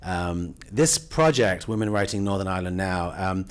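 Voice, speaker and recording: A man introducing a public event in an informal, conversational and enthusiastic way, with halting phrases. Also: low-pitched. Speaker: cultural affairs official introducing event. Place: event room at a university.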